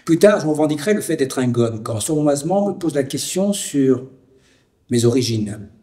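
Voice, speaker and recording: Male narrator from France, mid-range voice, reciting a personal, poetic documentary text with deliberate phrasing. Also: medium pitch, animated, warm tone. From France (Lyon area).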